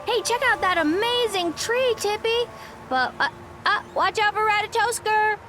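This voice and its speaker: An actress playing a perky, excitable young superhero girl in a video game. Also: very high-pitched, unhurried, slight background noise, exaggerated voice. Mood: excited. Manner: excitable, chirpy. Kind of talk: video game character lines.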